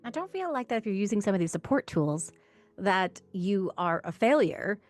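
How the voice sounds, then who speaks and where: A woman's voice: mid-range voice, sing-song, normal speed, soft background music. A woman hosting as a tutor, giving instructional advice to parents in an encouraging, emphatic way. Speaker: reading tutor and host. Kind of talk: instructional talk to camera for parents.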